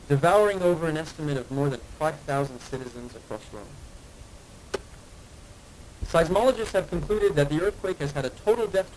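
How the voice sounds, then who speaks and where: A man's voice: fairly high pitch, darkish tone, lightly acted. A man playing an official archive announcer, reading scripted confidential narration formally for an analog horror film. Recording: faintly noisy archive tape.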